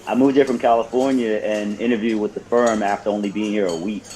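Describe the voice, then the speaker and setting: A man's voice: on the low side, steady tone, fairly quick, mellow, lightly acted, rough recording. A man acting in an audio drama as a friendly paralegal on a coffee date, easygoing and conversational. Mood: friendly, interested.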